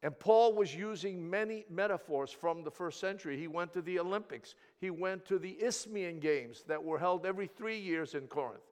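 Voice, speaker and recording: A man with a Greek accent, a native Greek speaker, preaching a sermon in a church, swinging from quiet asides to loud emphatic peaks and often repeating words as he restarts. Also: high voice, normal speed, few pauses, in a large hall. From Greece.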